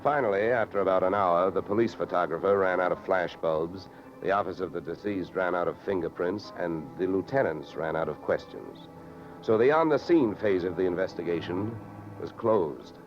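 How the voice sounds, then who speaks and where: A hard-boiled American detective acted by a man with a low, deadpan voice. He narrates and wisecracks sardonically in old radio drama style. The recording is dull and vintage, with music in it. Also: normal speed, natural voice. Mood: dry, sardonic. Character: wisecracking, hard-boiled male insurance investigator.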